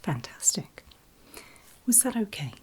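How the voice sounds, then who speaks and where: A woman whispering very gently and reassuringly in a breathy, unvoiced ASMR style, as a medical examiner in a roleplay, close to the microphone. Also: mid-range voice, big pitch swings, measured pace. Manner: gentle, reassuring, checking in often. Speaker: ASMR performer playing an ear examiner.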